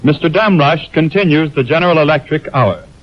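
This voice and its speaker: A man with an American accent reading formal, measured announcements in scripted 1920s radio style. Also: fairly high pitch. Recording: dull, lo-fi 1929 radio aircheck.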